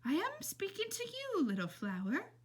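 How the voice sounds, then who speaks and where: A woman's voice: very high-pitched, wildly swooping pitch, normal speed, pitched above the performer's own voice, heavily acted. A woman voicing an effusive, welcoming old lady in a storybook, speaking with theatrical endearments. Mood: gushing welcome. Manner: gushing, theatrical, addressing listener with endearments. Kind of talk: storybook read-aloud character voice.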